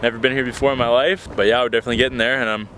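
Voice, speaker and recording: A young man in his twenties vlogging casually to a handheld camera outdoors, excited and swearing often. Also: mid-range voice, racing, noisy background. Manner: excited, swears a lot, talks straight to camera. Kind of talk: casual travel vlog. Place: outdoors at a beach and in a truck. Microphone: handheld camera at arm's length.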